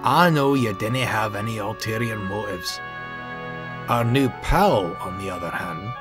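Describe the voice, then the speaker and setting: A man's voice: on the low side, measured pace, music in the background, close to an everyday voice. A man voicing a loyal, blunt, hearty steam engine with a Scottish accent in a children's story. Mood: firm, reassuring.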